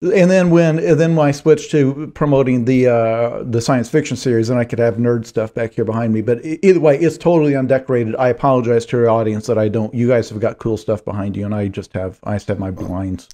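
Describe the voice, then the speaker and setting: A hoarse man in his forties, a guest author, chatting in a rambling, self-deprecating way on a video call from a small home office. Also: mid-range voice, steady tone, fairly quick, hardly any pauses, warm tone. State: hoarse, strained voice. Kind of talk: video call podcast chat. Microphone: headphones, desk mic on video call.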